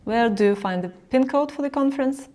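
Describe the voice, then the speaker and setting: A woman presenting a software tutorial, explaining each step directly to the viewer as she narrates a screen recording. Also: sits high, fairly quick.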